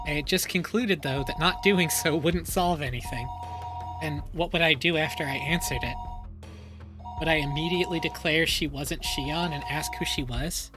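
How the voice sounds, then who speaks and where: A man streaming a dramatic first-person reading of a story, pausing and repeating phrases for suspense. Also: on the high side. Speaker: streamer reading a visual novel aloud. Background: music.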